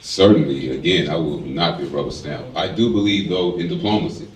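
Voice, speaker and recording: A man answering as a candidate at a forum, calm and diplomatic with some hesitation. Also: extremely low pitch, a little fast. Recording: echoey hall from far off.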